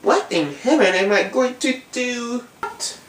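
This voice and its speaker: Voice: medium pitch, expressive, unhurried, fairly bright tone, distant mic, voice pushed up. A performer voicing a bossy, vain, motherly housekeeper puppet woman with a fussy, scolding manner. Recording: echoey room, faint noise.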